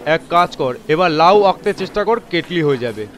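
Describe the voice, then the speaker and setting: A man doing a sarcastic drawing master, high swooping voice, teasing, sing-song, audio story. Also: expressive, moderate pace, slight background noise, lightly acted. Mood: teasing sarcasm. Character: mocking, amused male school drawing teacher.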